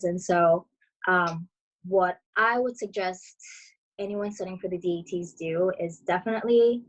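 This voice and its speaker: A woman in her twenties answers interview questions over a video call. She is relaxed and chatty, full of fillers, and her voice sits a bit low. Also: lo-fi sound. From Brazil, raised in Florida. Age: 20s. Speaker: dental student guest.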